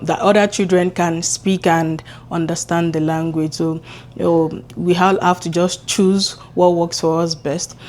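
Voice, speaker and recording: Chatty woman with a low voice. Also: a little mellow. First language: Yoruba. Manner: chatty, encouraging, with filler "like". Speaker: vlogger. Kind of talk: casual vlog talk to camera. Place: home kitchen.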